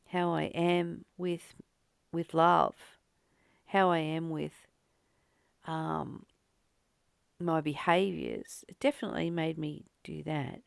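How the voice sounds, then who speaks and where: A woman giving a contemplative spiritual reading with informal personal commentary, pausing and repeating phrases as she goes. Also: deep voice, steady tone, creaky, no room sound, clean studio sound. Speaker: host of a spiritual reading and study series.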